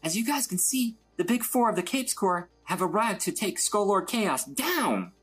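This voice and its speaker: A bubbly young woman livestreamer, put on by a man, squealing with breathless excitement. The voice sits far above his usual register and bounces all over the place. Faint music plays underneath. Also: high-pitched, normal speed, breathy edge, voice pushed up, heavily acted. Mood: excited.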